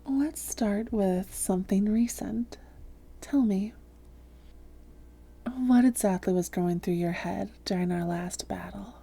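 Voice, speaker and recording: A woman playing a haughty, seductive vampire hypnotist, breathy and often whispering, with a smug, teasing, slow and coaxing delivery in an intimate roleplay audio. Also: mid-range voice, fairly even intonation, mellow, heavily acted. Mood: probing, teasing. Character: haughty, seductive female vampire hypnotist toying with a captured hunter.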